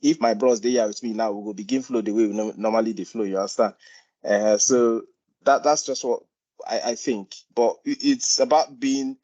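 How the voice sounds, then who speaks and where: A man's voice: mid-range voice, dark tone. A man speaking on a video call as a meeting panelist, sharing personal stories with lots of fillers. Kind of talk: online meeting chat. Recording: dull lo-fi call audio.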